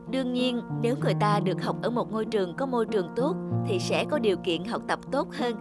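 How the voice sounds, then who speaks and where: A kind, wise young woman writer from a cartoon, voiced by an actress. She speaks calmly and reassuringly, as if gently teaching. Music is clearly heard underneath. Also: a little high, close to an everyday voice. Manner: calm, reassuring, instructive. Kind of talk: animated cartoon dubbing.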